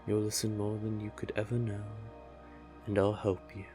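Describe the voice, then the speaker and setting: A man's voice: on the low side, monotone, dark tone, soft background music, close to an everyday voice. A man voicing a doting, possessive, manipulative male sea witch in an intimate audio roleplay, coaxing the listener tenderly. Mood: hypnotic, coaxing. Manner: coaxing, unhurried, tender with pet names.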